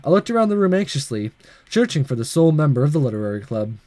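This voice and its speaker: Higher-voiced man with lively, swooping delivery. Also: fast talker, no echo. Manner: stumbles and rereads lines. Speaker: gaming video host. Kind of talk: reading a visual novel aloud with casual comments.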